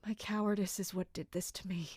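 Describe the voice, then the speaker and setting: A woman's voice: mid-range voice, fairly even intonation, brisk, a little mellow, husky, no room sound, clean studio sound, close to an everyday voice. A woman playing an anguished, dutiful and bitter royal captain in an audio drama, brooding and emotionally intense. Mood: regretful.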